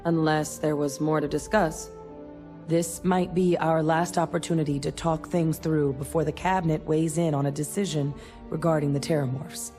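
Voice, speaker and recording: A woman's voice: low voice, little pitch movement, normal speed, mellow, faint music behind, natural voice. An actress playing a resolute, businesslike female scientist in a video game, speaking in a direct, matter-of-fact way. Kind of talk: video game character dialogue.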